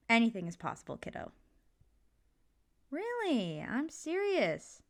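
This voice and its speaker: A woman's voice: high-pitched, full character voice. A woman playing a supportive, playful mother in an ASMR comfort roleplay, talking casually and reassuringly to her kid. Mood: upbeat, reassuring. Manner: casual, reassuring, jokey, gently expressive. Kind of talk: ASMR comfort roleplay, one side of a conversation.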